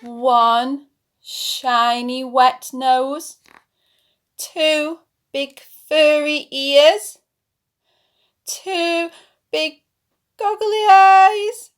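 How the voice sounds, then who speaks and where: A woman reads a picture book to young children in a high voice, very slowly and deliberately. She performs it expressively, like a nursery storyteller leading a chant. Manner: animated storytelling with dramatic whispers and gasps.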